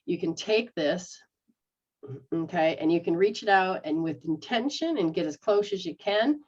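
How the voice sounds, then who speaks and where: A woman's voice: fairly low pitch, animated, moderate pace, darkish tone, highs cut off. A middle-aged woman, a metaphysical practitioner, explaining healing techniques in a rambling, casual way and checking that she is understood. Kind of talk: casual instructional chat.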